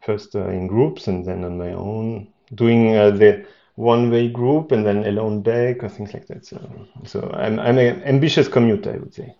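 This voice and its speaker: Man, 40s, guest, halting and full of fillers, low gravelly voice. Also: fairly even intonation, mellow, lo-fi sound. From Austria. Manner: hesitant, many fillers and restarts.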